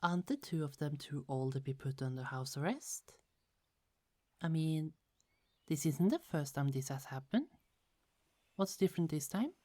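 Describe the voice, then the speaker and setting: A woman voicing a gentle young goddess who keeps golden apples, speaking in a soft, earnest way that hesitates a little. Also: deep voice, lively intonation, normal speed, pauses often. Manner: soft, earnest and a bit hesitant, with small stumbles. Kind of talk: ASMR audio roleplay.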